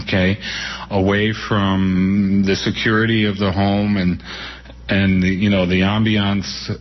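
A man in his sixties from New York City, unhurried and reminiscing as he recounts his childhood and reads from his own book, pausing often. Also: on the low side. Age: 60s. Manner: unhurried, reminiscing, with frequent pauses and fillers. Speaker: author and guest. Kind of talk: reflective storytelling and reading from his book. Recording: dull radio broadcast sound.

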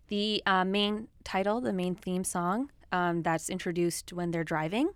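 A woman who grew up speaking Swahili talks at length in a casual film discussion, outspoken and full of fillers. Her voice sits on the low side. The sound is dry and close. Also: clean tone, dry recording.

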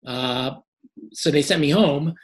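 Male interview guest; hoarse and a little short of breath, measured answers with many fillers. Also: on the high side.

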